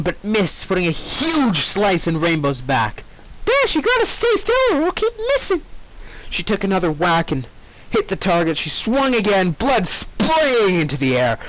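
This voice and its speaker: A man's voice: fairly high pitch, a bit bright, a little hiss or hum, rough recording. A man reading fan fiction aloud informally, expressively breaking off for squeamish asides. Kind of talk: informal story narration with reactions.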